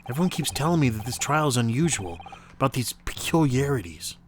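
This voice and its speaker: A man's voice: medium pitch, sing-song, brisk, noisy background, close to an everyday voice. A man voicing an unsure, earnest young defendant in a courtroom game dub. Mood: scared, resigned. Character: anxious, hesitant young male defendant.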